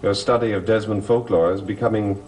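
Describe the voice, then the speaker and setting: An actor playing the brooding, commanding master of a mansion in a gothic soap opera, formal and theatrical. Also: male voice, on the low side, steady tone, brisk, close to an everyday voice. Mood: guarded. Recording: old TV soundtrack, music behind.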